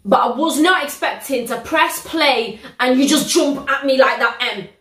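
Young british girl chatting on her couch, kinda high voice, loud and slangy, echoey room. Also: moderate pace. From United Kingdom. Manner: animated, slangy, exclamatory storytelling. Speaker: reaction video host. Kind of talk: casual reaction vlog. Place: living room, sitting on a sofa. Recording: roomy living-room echo.

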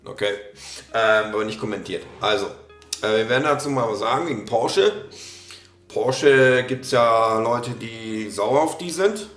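A man's voice: mid-range voice, animated, measured pace, fairly bright tone, roomy sound, faint music behind. A man in his thirties with a slight cold, commenting on a video casually, with many fillers and restarts. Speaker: reaction video host. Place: small room at a desk.

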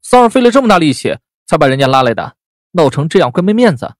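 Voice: mid-range voice, sing-song, quick pace, no echo, studio quality, natural voice. A performer voicing a kind-hearted young woman who frets aloud and questions things. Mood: fretful. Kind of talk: audio drama acting.

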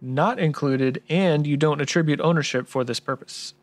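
A man's voice: on the high side, moderate pace, natural voice. A man playing an earnest, polite tax expert in a scripted noir radio drama, speaking precisely and courteously. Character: earnest by-the-book male tax expert, polite detective type.

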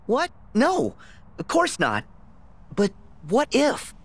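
A man's voice: very high-pitched, huge pitch swings, slow, heavily acted. A man playing an earnest, plucky teenage boy hero in a video game, blunt and casual in manner. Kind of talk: video game cutscene acting.

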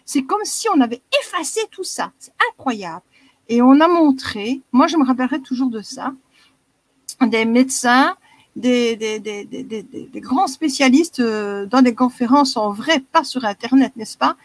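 A woman's voice: a little high, animated, normal speed, no echo. A woman in her sixties with a Belgian French accent, talking earnestly and rambling a bit as a caller on a live video call. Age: 60s. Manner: earnest, rambling, repeats herself. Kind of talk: casual chat on a video call.

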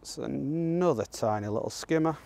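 A man's voice: mid-range voice, lively intonation, moderate pace, creaky, a little mellow. A man, around his thirties, with a Northern English accent, gives casual, matter-of-fact instructional commentary outdoors while fishing. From northern England. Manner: plain-spoken, colloquial, matter-of-fact. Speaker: angler presenting a fishing guide. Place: outdoors on the bank of a pond.

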